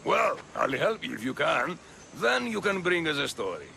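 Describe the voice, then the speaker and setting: Gravelly rough sailor guy, swoops up and down, crude, game. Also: on the high side, sing-song, normal speed, pushed and bright, a little hiss or hum, exaggerated voice. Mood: scornful. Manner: coarse, scornful. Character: gruff foul-mouthed male sailor, gossipy.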